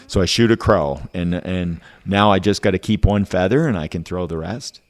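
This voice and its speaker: Very deep-voiced man in conversation. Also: animated, moderate pace. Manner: rhetorical questions, tag "right?". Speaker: podcast host. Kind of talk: casual discussion.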